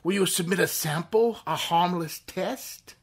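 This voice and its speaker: A man's voice: high-pitched, unhurried, slightly rough, full character voice. A man intoning as an eerie invisible avenger. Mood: ominous. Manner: theatrical, intoning, mocking. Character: invisible, mysterious male avenger speaking as a disembodied voice. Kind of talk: 1930s radio drama reenactment.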